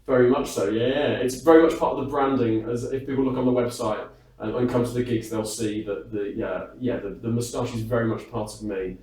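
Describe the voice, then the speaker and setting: A man's voice: mid-range voice, steady tone, a little fast, darkish tone. A British man being interviewed backstage, talking casually in a chatty, self-deprecating and rambling way with frequent hesitations. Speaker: interviewed singer and entertainer. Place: backstage at a music hall. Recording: echoey room, distant microphone.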